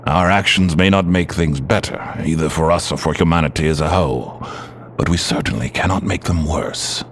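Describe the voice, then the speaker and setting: An actor playing an aged, grave mutant leader in an audio drama, speaking in measured, formal, commanding phrases. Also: male voice, very deep voice, slight background noise. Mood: resolute.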